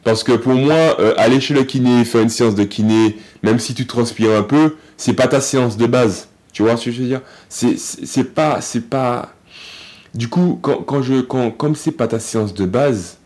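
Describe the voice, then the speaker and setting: A man, an athlete guest in a casual podcast interview, talking in a halting way with many fillers and restarts. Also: mid-range voice, darkish tone.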